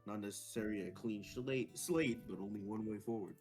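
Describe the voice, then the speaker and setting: A man's voice: lowish voice, a little fast, a little mellow. A man doing a casual, jokey read-aloud of visual novel dialogue, dropping informal asides. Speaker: co-reader in a visual novel let's play. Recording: music playing behind the voice. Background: guitar music.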